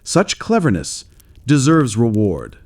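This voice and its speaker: A man narrating a storybook voices a stately king making formal, measured royal proclamations. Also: mid-range voice, huge pitch swings, darkish tone, full character voice. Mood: solemn, proclaiming.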